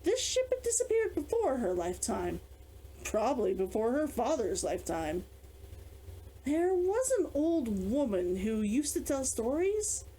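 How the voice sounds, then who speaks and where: A woman reading a fantasy novel aloud as its author, with expressive storytelling. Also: sits high, a little slow, a little hiss or hum, low-quality recording. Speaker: author reading own book.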